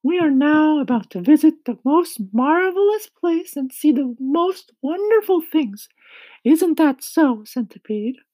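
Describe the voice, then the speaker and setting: A woman voicing a kind, gentle lady ladybug who explains things bossily and emphatically in a children's storybook reading. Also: sits high, animated, a little slow, no room sound, voice pushed up, poor audio. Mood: eager.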